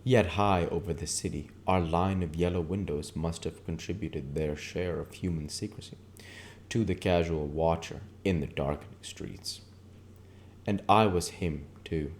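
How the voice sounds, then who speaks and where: Low-pitched man narrating over background noise. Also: measured pace. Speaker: reader and channel host. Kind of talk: literary audiobook narration.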